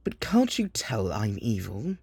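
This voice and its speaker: A man plays a self-proclaimed evil demon with an English accent for a comedy sketch. He is pompous and theatrically villainous without being truly menacing, with wide swings in pitch and a clean, dry sound that has a slight husk. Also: wildly swooping pitch, measured pace, vocal fry, darkish tone, slightly rough, no echo, exaggerated voice. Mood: defensive, pompous. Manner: pompous, mock-sinister.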